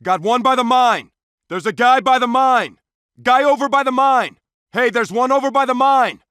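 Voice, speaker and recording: A man's voice: very high voice, normal speed, bright, forceful tone, smooth, no room sound. A man playing an intense SWAT officer in a shooter game, making clipped, urgent, commanding tactical callouts. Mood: tense reporting. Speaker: video game voice actor.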